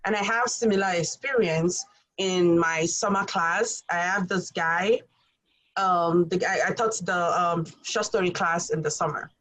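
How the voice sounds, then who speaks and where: A woman's voice: fairly low pitch, fairly even intonation, measured pace, a bit bright, rough recording. Nigerian-accented woman telling classroom stories. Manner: storytelling, earnest, many fillers. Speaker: college English instructor. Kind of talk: roundtable podcast discussion.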